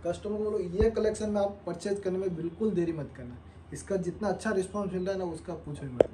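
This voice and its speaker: A young man pitching his clothing collection to viewers in a showroom, talking persuasively while showing garments. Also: fast, heavy background noise. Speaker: clothing wholesaler.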